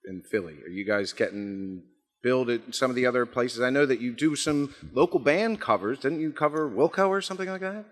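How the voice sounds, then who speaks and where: A man's voice: medium pitch, brisk. A man hosting a casual interview, often repeating his phrases in affirmation. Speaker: interviewer, show host.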